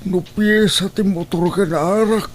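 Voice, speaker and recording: Aktor a kas nabannayat a lakay nga ama, Ilocano. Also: male voice, sits high, steady tone, a little slow. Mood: reproachful. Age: older. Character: ailing, long-suffering old father, gently reproachful. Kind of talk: Ilocano radio-style audio drama.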